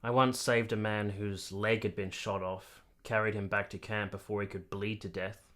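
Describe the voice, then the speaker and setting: An actor playing an earnest, articulate army captain who has been to war, in a radio play. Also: male voice, fairly low pitch, little pitch movement, moderate pace, a bit bright, lightly acted. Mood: sombre, reflective. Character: earnest, candid young army captain, reflective war veteran. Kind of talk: radio play narration and dialogue.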